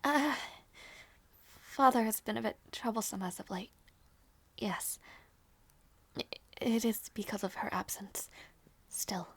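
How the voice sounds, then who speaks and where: A woman playing a gentle, anxious young princess in an intimate audio roleplay drama, speaking softly and haltingly. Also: mid-range voice, husky, dry recording, heavily acted. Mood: soft, wistful. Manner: soft, hesitant, halting delivery.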